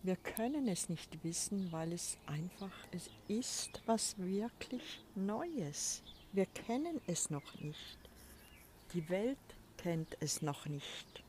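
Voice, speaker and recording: A woman hosting a spiritual podcast, talking in a soft, breathy, reflective way from a balcony with birds behind her. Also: lowish voice, animated, slow pace, a little hiss or hum. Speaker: podcast host. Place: outdoors on a balcony. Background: birds and a crow.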